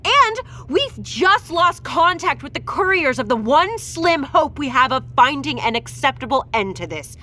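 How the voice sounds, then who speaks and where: An actress playing a blunt, anxious doctor in a crisis audio drama, insistent and exasperated. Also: female voice, sits high, very animated, a little slow, few pauses, pushed and bright, heavily acted. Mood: angry, distressed.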